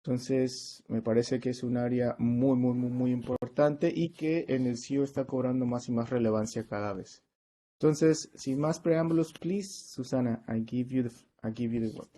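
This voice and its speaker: A man giving a semi-formal, somewhat halting introduction as a seminar host. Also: mid-range voice, steady tone, mellow. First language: Spanish.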